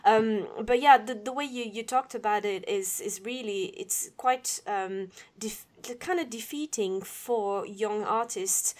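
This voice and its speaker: A woman's voice: mid-range voice, unhurried, few pauses. A woman from Belgium hosting an interview, talking in a supportive, chatty way with fillers. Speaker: interviewer, podcast host. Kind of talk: casual interview chat.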